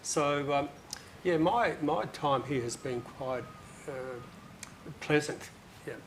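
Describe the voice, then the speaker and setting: A man speaking as a panelist, relaxed and halting, with frequent ums. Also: a little high, background noise.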